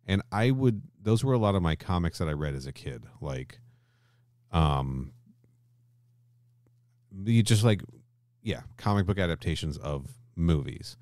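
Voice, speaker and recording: A man with an extremely low, deep voice talks casually as a podcast host. He thinks out loud, hedging and rewording as he goes. The sound is dry and close, with no room echo. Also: expressive, measured pace, long pauses. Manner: hedging, thinking aloud, circles back to rephrase. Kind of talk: casual discussion between co-hosts.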